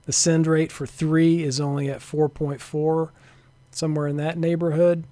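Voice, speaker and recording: Guy doing a casual screen share walkthrough, slightly high voice, dry close sound, kinda hesitant and mumbles off. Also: little pitch movement, moderate pace, dark tone, dry recording. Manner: thinking aloud, hesitant, trailing off to a mutter. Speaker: software developer demonstrating a networking tool. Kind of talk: informal screen-share demo narration.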